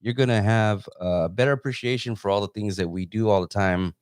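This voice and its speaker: Low-voiced man hosting casually. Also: a little fast, clear, resonant tone, dry recording, clean studio sound. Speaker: interviewer and host; photographer. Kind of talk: casual live phone interview.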